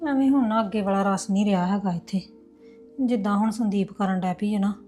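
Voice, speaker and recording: A woman's voice: mid-range voice, brisk, warm tone, soft background music, natural voice. A woman playing a sarcastic, resentful sister-in-law. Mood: musing. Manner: complaining, sarcastic. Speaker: sister-in-law in a family drama. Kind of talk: domestic drama acting.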